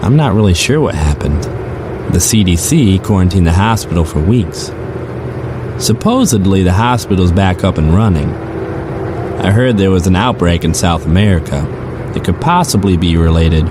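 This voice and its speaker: A man's voice: low voice, expressive, moderate pace. A man playing a weary, musing rideshare driver who narrates eerie stories in a conversational, first-person audio drama style. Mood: ominous. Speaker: narrator and lead character. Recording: clearly noisy, car and street ambience.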